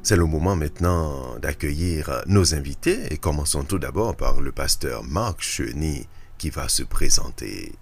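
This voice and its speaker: A man hosting a radio interview show, introducing guests in a calm, measured way. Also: a bass voice, breathy edge, faint music behind.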